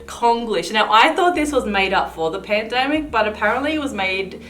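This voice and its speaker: A woman's voice: fairly high pitch, lively intonation, moderate pace, a bit bright. A woman in her thirties co-hosting a casual chat, talking freely with plenty of fillers. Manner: chatty, uses many fillers. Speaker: co-host. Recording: echoey room with faint hiss.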